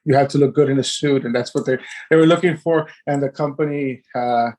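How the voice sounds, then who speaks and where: A man in his thirties, born in Cuba and raised in Miami, telling his story as a podcast guest in a relaxed way and laughing now and then. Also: mid-range voice, fairly quick. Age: 30s. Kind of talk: casual interview storytelling.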